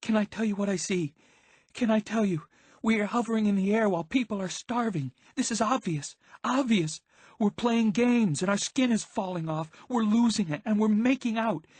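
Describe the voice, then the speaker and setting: Man acting out a hysterical, high-pitched screaming teenage girl. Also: moderate pace, darkish tone, dry recording. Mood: frantic, furious.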